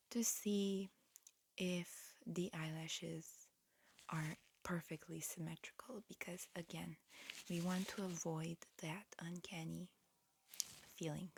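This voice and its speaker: A woman softly whispering an ASMR roleplay, explaining slowly and carefully as a calm, attentive examiner. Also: on the low side, breathy edge. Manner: soft, hushed whispering with slow, careful explanations. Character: calm, attentive alien examiner disguised as a human. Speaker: ASMR roleplay performer playing an alien examiner. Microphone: close ASMR microphone.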